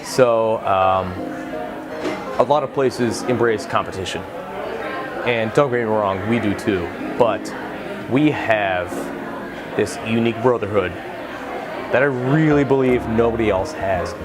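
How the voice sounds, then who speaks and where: A man's voice: lowish voice, measured pace, pauses often, over music, crowd noise. A man from Maine, a brewer speaking enthusiastically and candidly in a documentary interview.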